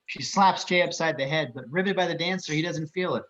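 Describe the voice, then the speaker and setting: A man's voice: a little high, fairly quick, a bit bright, dull audio. A man reading screenplay action descriptions as narrator at an amateur table read.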